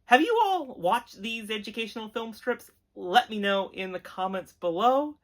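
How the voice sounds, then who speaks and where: The voice belongs to a show host with a medium pitch. The delivery is earnest and emphatic, in the conversational style of an enthusiastic retro cartoon review. It comes across as appreciative commentary aimed straight at viewers. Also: lively intonation, moderate pace.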